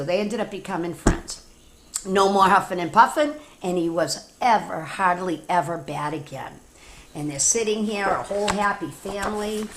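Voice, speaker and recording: A woman with an American accent reading a story aloud to children, animated and informal, with chatty asides. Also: lowish voice, noisy background. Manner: animated, informal, talks directly to young children. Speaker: teacher reading stories to children.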